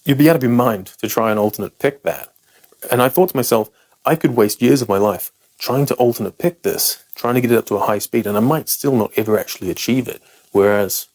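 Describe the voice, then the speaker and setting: A man's voice: mid-range voice, a little fast, a little mellow. A man with a British accent, a native English speaker, talks to camera as a guitar teacher, explaining picking technique in a frank, self-deprecating and emphatic way.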